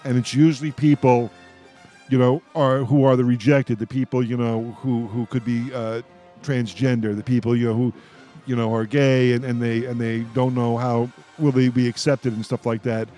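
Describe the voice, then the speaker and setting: A man's voice: mid-range voice, level tone, warm tone. A man with a mid-range voice chats in a casual group discussion. He speaks informally, circling back and restarting as he goes. Faint music sits behind the talk. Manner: halting, repeats and restarts mid-thought. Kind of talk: casual roundtable fan discussion.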